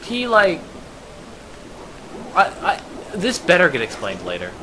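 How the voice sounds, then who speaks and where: A man's voice: high voice, huge pitch swings, a little slow, noisy background. A man giving casual, incredulous, questioning commentary in group gaming banter. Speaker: let's play commentator.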